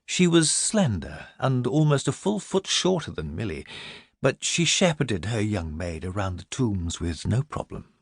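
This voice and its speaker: A man's voice: lowish voice, sing-song, a little slow, creaky, husky, no room sound, studio quality. A man reading a story as an audiobook narrator, in dramatic scripted narration.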